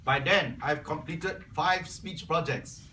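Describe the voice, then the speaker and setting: A man whose first language is Cantonese gives an earnest prepared speech to his club as its incoming membership officer, often clearing his throat. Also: fairly high pitch, slow, sharp tone, a little hiss or hum. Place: club meeting room. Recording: echoey room, from far off.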